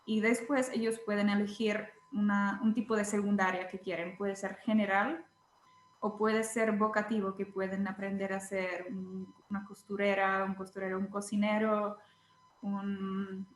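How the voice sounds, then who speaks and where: A young woman with a Czech accent, a teacher and blogger answering interview questions in a hesitant way, often pausing to search for words. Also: medium pitch, level tone, roomy sound. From Czech Republic. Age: young adult.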